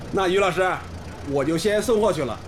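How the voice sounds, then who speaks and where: An actor playing an easygoing, obliging village delivery driver in a radio drama, folksy and jovial. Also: male voice, high-pitched, a little hiss or hum, heavily acted. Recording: faint outdoor vehicle ambience behind.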